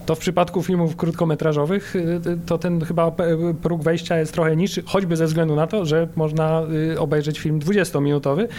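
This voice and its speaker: A man's voice: on the high side, steady tone, a little fast, few pauses, mellow, slight background noise. A man co-hosting a casual podcast interview, speaking hesitantly in long winding sentences. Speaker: podcast co-host.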